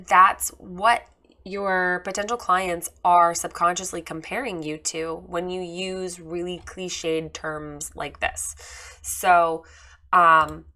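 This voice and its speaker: A woman with a slightly hoarse voice after losing it, giving a candid, chatty review of a photographer's website with many fillers. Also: lowish voice, sharp tone. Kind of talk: casual screen-share critique.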